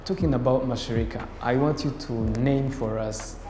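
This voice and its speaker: A man's voice: medium pitch, normal speed, background noise. A young man, speaking formally as the interviewer in a seated on-camera interview. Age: young adult. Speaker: interviewer, host. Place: indoor room with bare walls, seated. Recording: echoey room, music behind.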